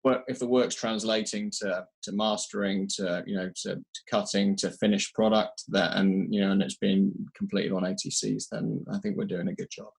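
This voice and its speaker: A man giving technical answers in an interview, measured and explanatory, pausing to find his words. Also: fairly low pitch, steady tone, creaky, husky, low-quality recording. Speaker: audio company representative being interviewed.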